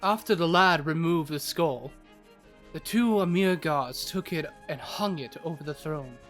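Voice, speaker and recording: A man's voice: on the high side, measured pace, a bit bright, faint music behind. A man giving scripted story narration as the narrator of a fantasy audio drama.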